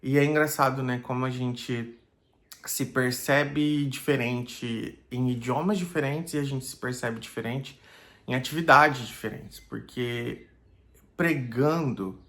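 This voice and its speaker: Reflective pastor talking casually. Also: male voice, medium pitch, a bit bright. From Brazil. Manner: thoughtful, many fillers like "né" and "é".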